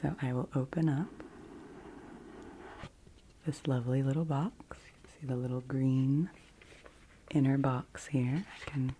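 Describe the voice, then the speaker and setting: A woman in her forties gently presenting in a hushed, partly whispered ASMR style, unhurried and close to the microphone. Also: rumbling low, steady tone, slight background noise, poor audio. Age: 40s. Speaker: ASMR host. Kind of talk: soft ASMR card reading, partly whispered.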